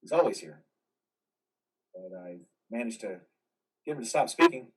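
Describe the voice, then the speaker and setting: Guy acting a troubled vet in a stage play, husky, lots of pitch movement, bit off the mic. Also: expressive, moderate pace, far from the mic. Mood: calmer, hopeful. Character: troubled, defiant male war veteran in psychiatric care.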